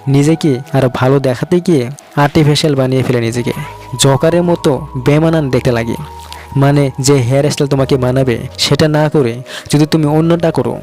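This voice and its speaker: A man's voice: a little high, a little mellow. A man narrates scripted motivational relationship advice, speaking directly to the listener in an instructive way. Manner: direct, instructive, addresses the listener with rhetorical questions.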